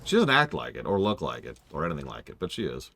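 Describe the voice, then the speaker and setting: A man in his forties chatting casually on a game stream, joking in a dry, deadpan way. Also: rumbling low, quick pace, gravelly, a little hiss or hum. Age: 40s.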